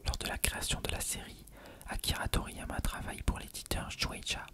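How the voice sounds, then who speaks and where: ASMR reader, fully whispered and breathy, for read-aloud factual text. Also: fairly quick, bright, forceful tone. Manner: reading aloud. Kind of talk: whispered ASMR reading of an encyclopedia article.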